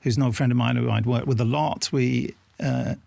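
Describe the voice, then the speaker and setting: A man's voice: medium pitch, steady tone, moderate pace, creaky, mellow, dry recording. A man casually recalling past events in an interview chat.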